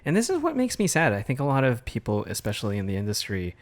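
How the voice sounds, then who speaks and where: A man's voice: mid-range voice, huge pitch swings, fast. A man in his thirties co-hosting a casual podcast, talking things through thoughtfully. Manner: thoughtful, lots of fillers and restarts. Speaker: podcast co-host, artist. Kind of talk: casual chat.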